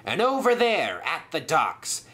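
A man voicing a smug, scheming male sailor villain who speaks smoothly and gloats. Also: on the high side, wildly swooping pitch, a little slow, bright, forceful tone, voice pushed up, exaggerated voice. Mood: gloating.

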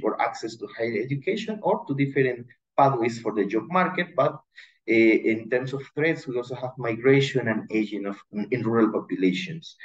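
A man in his thirties from Ecuador, giving a measured panel presentation over a video call, with frequent restarts. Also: medium pitch, dull audio. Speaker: education manager, panelist. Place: indoor room. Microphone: video call webcam.

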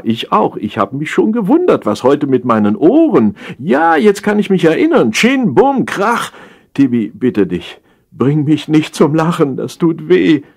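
A man's voice: mid-range voice, creaky, breathy edge, voice pushed up, heavily acted. A man playing a whiny, defensive party guest on a call to his friend, plaintive and full of exclamations in a comic radio play.

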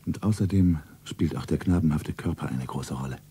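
An actor playing a rich, secretive, suave lover who narrates himself solemnly in a stylised 1970s radio play. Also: male voice, very deep voice, mellow, slightly rough, heavily acted. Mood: detached narrating. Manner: deliberate, solemn, self-narrating.

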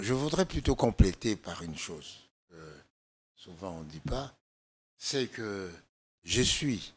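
An older man from Congo with a hoarse, gravelly voice, speaking as a political guest in a TV studio interview, measured and halting with many fillers. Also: low-pitched. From Democratic Republic of the Congo. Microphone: desk microphone.

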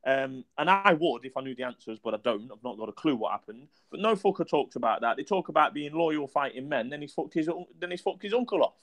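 A man co-hosting a boxing chat podcast over a video call. He is blunt and dismissive and swears freely, and his voice sits a little higher than most men's. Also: lively intonation, fast talker, hardly any pauses. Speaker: podcast co-host.

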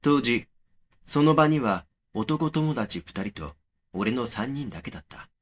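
A man playing a young man who narrates his eerie experience in a horror audio drama, measured and serious. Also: mid-range voice, lively intonation. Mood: serious, suspenseful. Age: young adult. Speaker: first-person narrator of a horror story.

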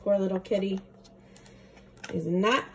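A young woman teacher reading a storybook aloud to children, with playful, expressive comments. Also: mid-range voice, unhurried, slight background noise. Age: young adult.

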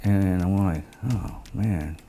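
An older man telling stories in a casual, halting way, as an engineer looking back in an interview. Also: low-pitched, dark tone, soft background music. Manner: halting, anecdotal storytelling with quoted dialogue. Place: living room of a home, indoors.

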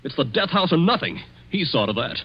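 A dull vintage radio recording. a desperate young accused of a crime. His voice is husky and swings dramatically, bitter and hopeless in 1940s radio style. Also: a little high, big pitch swings, fairly quick.

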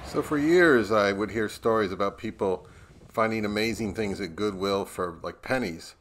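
A man's voice: lowish voice, normal speed, a bit bright. A middle-aged American man narrating a casual vlog in a wry, conversational way. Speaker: audio gear YouTuber.

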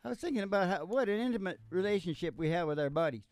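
A man's voice: sits high, animated, racing, a little mellow, no room sound. An older man hosting with folksy, playful, joking banter at a stand microphone. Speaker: podcast host and country singer. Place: small curtained studio. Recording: live acoustic guitar behind the voice.

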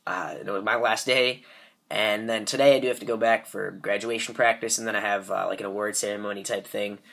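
A man's voice: lowish voice, fairly even intonation, brisk, creaky, a bit bright. A teenage boy hosting a gaming channel, sick and stuffed up with a sore throat, rambling casually with lots of fillers while opening card packs. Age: teens. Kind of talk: casual pack-opening commentary.

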